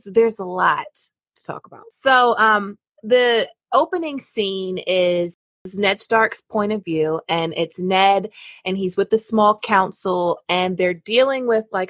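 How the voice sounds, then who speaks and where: A woman's voice: medium pitch, fairly bright tone, no room sound. A woman hosting a podcast, chatting casually with many "like" fillers. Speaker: podcast host.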